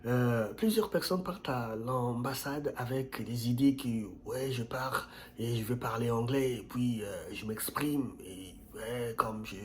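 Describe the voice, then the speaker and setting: Earnest man giving emphatic advice. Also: a little mellow. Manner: earnest and emphatic, repeats key phrases; pitch jumps up sharply on stressed words. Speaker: YouTube channel host. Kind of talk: casual advice vlog.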